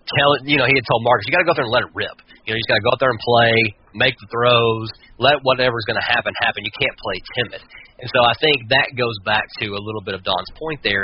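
A man hosting a sports talk podcast, giving commentary with a halting delivery that often repeats words. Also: medium pitch. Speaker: podcast host. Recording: dull lo-fi sound with clear noise.

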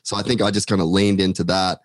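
A man's voice: sits low, little pitch movement, fast talker, no echo, studio quality. A man talking casually as a podcast guest, rambling with many fillers and casual swearing. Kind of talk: casual chat.

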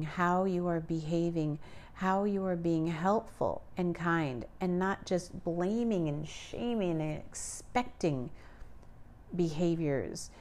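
A woman's voice: fairly low pitch, darkish tone. A woman hosting a solo podcast, talking earnestly and pleading with her listeners to be kind to each other. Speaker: podcast host.

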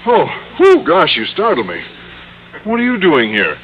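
A man's voice: high-pitched, wildly swooping pitch, a little slow, heavily acted. An actor playing a bashful, naive male schoolteacher, hesitant and flustered, in an old radio comedy. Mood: flustered, alarmed. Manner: hesitant, stammering, boyish. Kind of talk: 1950s radio comedy acting. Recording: muffled, noisy old radio broadcast.

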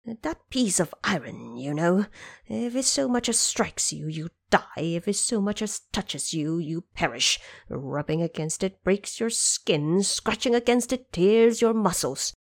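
Elderly dragon king played by a woman, nervous, deferential and placating, with a very changeable pitch and dry sound, for fantasy audio drama. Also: lively intonation, unhurried, vocal fry, no echo, full character voice. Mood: weary warning. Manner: pleading, hesitant, deferential. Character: anxious old male dragon king, placating and courteous.

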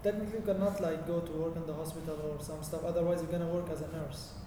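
A man with a Syrian Arabic accent, a native Arabic speaker, co-hosting and asking questions in halting phrasing. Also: on the high side, steady tone, warm tone. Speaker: podcast co-host. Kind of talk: casual chat. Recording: distant mic in echoey room, noise.

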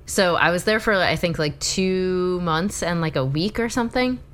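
A woman co-hosting a podcast from her apartment, sick, with her voice cracking and deeper than usual, chatting in a relaxed way. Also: moderate pace. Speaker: podcast co-host. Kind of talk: casual podcast chat.

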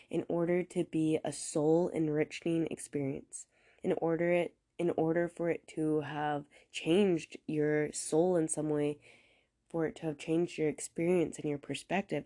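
A woman hosting a podcast alone, a bit stuffed up from a cold, talking slowly and earnestly with some rambling. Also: low-pitched, dark tone. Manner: earnest, encouraging, a little rambling; deliberately slow, careful pronunciation. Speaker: podcast host. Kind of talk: casual solo podcast monologue.

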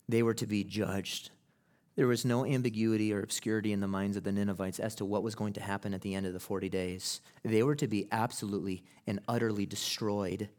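A man delivering a sermon from the pulpit in a fairly low voice. He talks to the congregation in a conversational way and then rises to loud, impassioned preaching. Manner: acts out quoted people in casual voices. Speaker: pastor. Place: church sanctuary.

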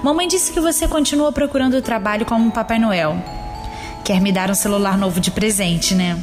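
A woman playing an affectionate, wistful, gently teasing young granddaughter with a Brazilian accent in a scripted audio drama monologue. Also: mid-range voice, normal speed, music in the background, close to an everyday voice. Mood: tender, wistful.

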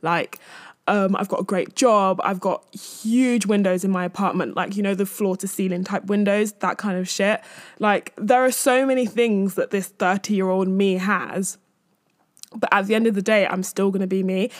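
Mid twenties girl doing a chatty solo podcast, candid and rambly, medium pitch, really dry close mic. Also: fairly even intonation, normal speed, no echo. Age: 20s. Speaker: podcast host.

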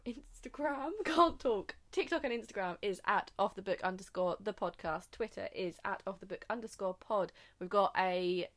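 A young woman co-hosting a podcast, chatting quickly and tripping over her words, sitting close to the microphone. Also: medium pitch. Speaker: podcast co-host. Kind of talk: casual podcast chat. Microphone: sitting close to an uncovered mic.

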